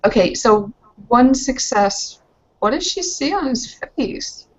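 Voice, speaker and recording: A woman speaking haltingly and casually as a player in a tabletop roleplaying game, on a dull recording. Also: fairly high pitch, lively intonation.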